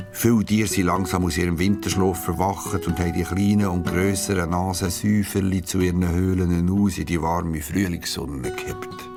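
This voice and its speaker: A man with a Swiss accent narrating a scripted children's story, using dramatic pauses. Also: low-pitched. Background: plucked guitar music.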